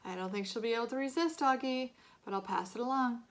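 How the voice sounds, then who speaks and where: A woman's voice: fairly high pitch, fast talker, voice pushed up, close to an everyday voice. Woman reading as a fond, easygoing dad. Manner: friendly, fond. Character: warm, easygoing, affectionate father. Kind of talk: children's audiobook-style read-aloud.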